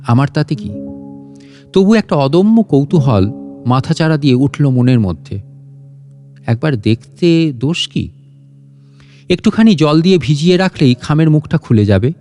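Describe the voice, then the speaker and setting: A man with a slightly high voice narrates a first-person story as a dramatic audiobook reading. Soft plucked guitar music plays behind his voice. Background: guitar background music.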